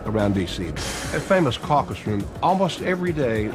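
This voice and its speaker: A man in a documentary interview, telling a story with animated lists. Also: medium pitch, normal speed, music in the background. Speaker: interviewee recalling events.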